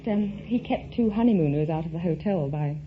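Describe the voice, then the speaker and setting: A woman with a fairly low voice, speaking politely and carefully as a quiz contestant. Her genteel, hesitant talk comes through a thin, phone-like old radio recording with faint noise. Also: expressive, brisk. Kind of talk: polite conversational interview.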